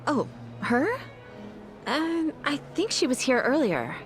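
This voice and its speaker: A woman's voice: sits high, very animated, slow pace, soft background music, heavily acted. An actress playing a chatty, gushing woman at an event in a game dub. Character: chatty female event attendee, starstruck fan.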